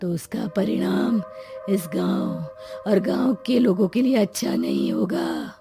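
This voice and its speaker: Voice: mid-range voice, wildly swooping pitch, slow pace, creaky, over music, full character voice. A performer voicing the menacing, commanding ghost of a dead king in a story, making threatening pronouncements.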